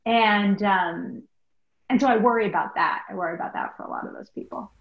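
A middle-aged American woman, a guest doctor answering interview questions in a thoughtful, halting, conversational way. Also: mid-range voice, vocal fry. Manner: thoughtful, halting, peppered with like and you know. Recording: roomy, dull lo-fi remote recording.

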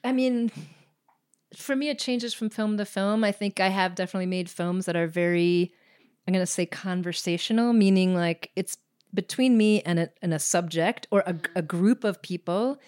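A woman's voice: on the low side, normal speed, darkish tone, no echo, clean studio sound. A woman filmmaker answering interview questions reflectively, searching thoughtfully for her words. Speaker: filmmaker guest.

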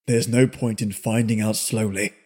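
A man reading aloud and voicing a sullen, weary young drifter who speaks in a low, rustic way. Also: steady tone, normal speed, darkish tone, lightly acted. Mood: weary, somber. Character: sullen young male drifter, weary beyond his years.

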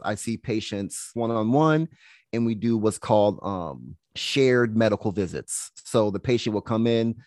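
A young man, a health coach, chatting casually in an interview, relaxed and laughing easily, with plenty of "you know"s. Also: fairly low pitch, dry recording, studio quality. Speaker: health coach and podcast guest.

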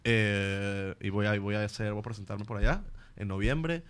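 A man's voice: on the low side, normal speed, clean tone. A man in his twenties from Mexico, a comedian guest telling stories in an upbeat, easygoing way. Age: 20s. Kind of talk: casual chat. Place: podcast studio.

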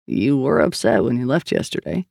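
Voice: medium pitch, animated, normal speed, vocal fry, darkish tone, no echo. An audiobook narrator playing a weary, skeptical male police partner, blunt and dryly sardonic. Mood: reluctant, placating. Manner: dry, sardonic, reluctant.

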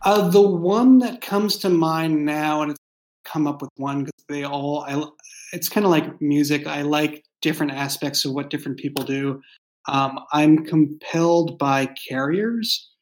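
A man in a casual podcast discussion, speaking as a creator and writer in a halting way, searching for words. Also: fairly high pitch, poor audio. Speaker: audio drama creator and writer.

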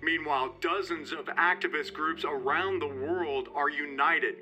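An actor playing a formal, urgent news anchor delivering a broadcast bulletin. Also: male voice, on the high side, measured pace, sharp tone, faint music behind.

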